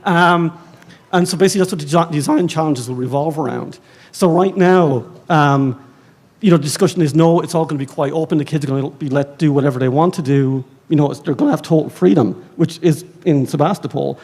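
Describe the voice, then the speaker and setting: An Irish man speaking as a librarian on a conference panel, rambling and animated, with many fillers and self-mocking asides. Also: on the high side, fairly quick. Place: conference stage.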